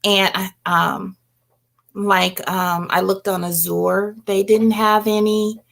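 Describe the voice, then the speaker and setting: A woman's voice: mid-range voice, level tone, slow, gravelly, a bit bright. A middle-aged woman chatting casually and informally as host of a livestream, replying to viewers as she goes. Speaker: host of a home herbalism livestream. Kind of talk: casual livestream chat, reading and answering comments.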